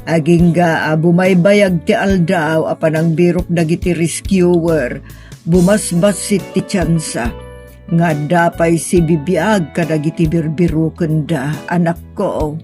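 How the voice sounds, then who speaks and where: A woman's voice: on the low side, steady tone, a little slow, warm tone, faint music behind, lightly acted. A woman playing a patient, middle-aged mother, earnest and consoling, in a sentimental audio drama. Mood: gently consoling.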